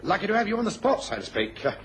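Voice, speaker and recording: Quick-talking, deferential English constable. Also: male voice, high voice, big pitch swings, a bit bright. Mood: deferential, helpful. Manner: hesitant starts, deferential, colloquial. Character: deferential, chatty male police constable. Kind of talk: radio drama acting. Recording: noisy old radio recording.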